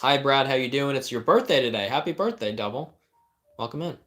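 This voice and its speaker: A man's voice: mid-range voice, lively intonation, a little fast, a bit bright, soft background music. A young man, a life coach, reading viewers' questions on a livestream and answering with blunt, direct advice and rhetorical questions.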